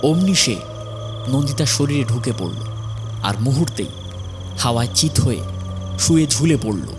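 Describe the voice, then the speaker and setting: A man's voice: mid-range voice, lively intonation, normal speed, music in the background. A man giving dramatic scripted narration of a horror audio story.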